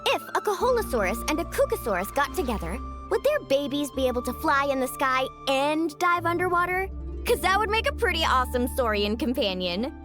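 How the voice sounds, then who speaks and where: A woman playing an upbeat, easygoing young woman, chatty and friendly, in an animated video game. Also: high-pitched, brisk. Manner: bubbly, casual, chatty. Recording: music under the voice.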